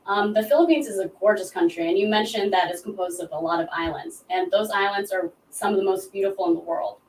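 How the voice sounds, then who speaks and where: A woman interviewing a guest, affirming his answers and asking measured questions. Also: medium pitch, recorded from a distance, a little hiss or hum. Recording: echoey room, voice away from mic.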